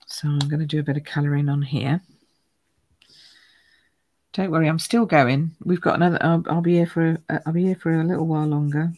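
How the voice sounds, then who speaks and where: Chatty woman, croaky gravelly voice. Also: deep voice, a little mellow, no room sound. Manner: chatty, thinks aloud, greets viewers by name. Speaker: card-making demonstrator. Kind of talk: live craft demonstration. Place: home craft room.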